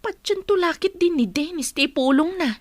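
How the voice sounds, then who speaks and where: A woman's voice: very high-pitched, brisk, no room sound. A woman playing a defensive, exasperated mother in a radio drama, complaining emphatically. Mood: exasperated, worried. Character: defensive, exasperated mother of school-age daughters.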